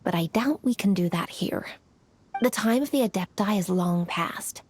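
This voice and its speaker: Casting: actress for a proud young female official in a game, mid-pitched, crisp and outspoken. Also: animated, normal speed, a little mellow, slight background noise, close to an everyday voice. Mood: sardonic, resolute. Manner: crisp, assertive, formal. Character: proud, frank, sceptical young noblewoman official. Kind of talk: video game voice acting.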